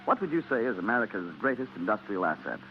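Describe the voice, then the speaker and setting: A man's voice: lowish voice, big pitch swings, fast talker, soft background music. A man reading scripted narration as a 1940s radio commercial announcer. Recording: muffled, faintly humming 1940s radio recording.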